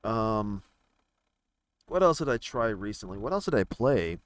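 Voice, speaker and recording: Clear-voiced man rambling casually about games. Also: lowish voice, very animated. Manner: halting, thinking out loud as he browses. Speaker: gaming video creator.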